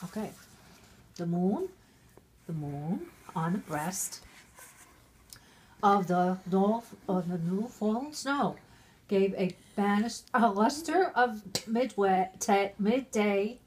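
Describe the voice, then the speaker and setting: A woman's voice: medium pitch, animated, slow pace, long pauses, a little mellow, rough recording. A middle-aged woman, very drunk, reading a Christmas storybook poem aloud, stumbling over words and breaking off into irreverent asides. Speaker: mother reading a Christmas poem to her family.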